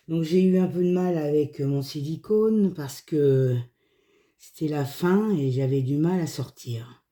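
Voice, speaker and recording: A woman hoarse from a cold, chatting casually through a hands-on craft tutorial in short, unhurried phrases. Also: low voice, dark tone. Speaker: craft video creator.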